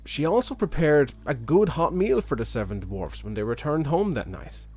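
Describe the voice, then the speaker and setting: A man's voice: a little high, lively intonation, normal speed, a little mellow, slightly rough, soft background music. A man reading a fairy tale expressively as a children's storyteller.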